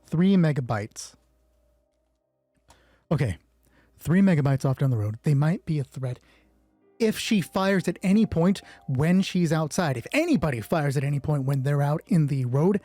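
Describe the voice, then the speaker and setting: A man's voice: on the high side, expressive, measured pace, mellow, no room sound, faint music behind. A man narrating a solo tabletop game as game master, thinking aloud and asking himself questions that he then answers. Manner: deliberating, asks himself questions and answers them.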